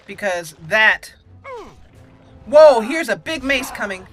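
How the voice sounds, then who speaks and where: A woman's voice: on the high side, expressive, takes it slowly, fairly bright tone. A woman hosting a roleplay game playthrough, giggly and theatrical, slipping between commentary and in-character speech. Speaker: gameplay channel host. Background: faint game music.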